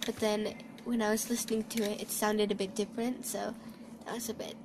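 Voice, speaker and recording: A woman's voice: mid-range voice, little pitch movement, a little fast, noisy background. A young woman from Hong Kong, a voice-over trainee, giving casual, hesitant, thoughtful answers. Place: recording studio.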